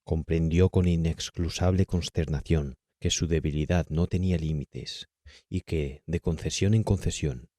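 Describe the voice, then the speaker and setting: A man's voice: very deep voice, unhurried, dark tone, no echo, studio quality. A man with a Castilian Spanish accent reading a horror audiobook as a dramatic narrator.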